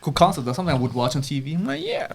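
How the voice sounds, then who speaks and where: A man's voice: a little high, big pitch swings, very fast, creaky, crowd noise. A man in his twenties chatting casually about movies, acting out reactions as he tells stories.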